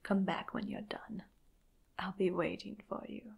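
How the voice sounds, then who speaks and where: Woman playing a vampire mistress, very slow, breathy, often whispering, possessive, doting, coaxing with menace, theatrical ASMR roleplay. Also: mid-range voice, steady tone. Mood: menacing. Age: young adult. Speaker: ASMR performer. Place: small dim indoor room.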